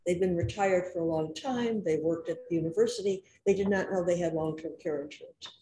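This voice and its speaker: Woman panelist, chatty, jumps in, warm mellow voice, echoey room, faint hiss. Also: fairly low pitch. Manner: conversational, quick to jump in. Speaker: senior services panelist. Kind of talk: panel discussion on a video call.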